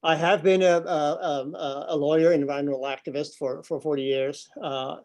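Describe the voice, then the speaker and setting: A man's voice: on the high side, fairly even intonation, moderate pace, darkish tone. An older man speaking to a business group over a video call, full of fillers and repeated words. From grew up overseas. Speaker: environmental nonprofit director and speaker. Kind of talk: informal talk to a business audience. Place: office room with a window. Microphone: laptop webcam, video call.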